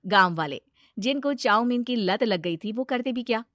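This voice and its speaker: High-voiced woman narrating a story. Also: expressive, normal speed, a bit bright, dry recording, studio quality. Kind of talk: scripted moral-story narration.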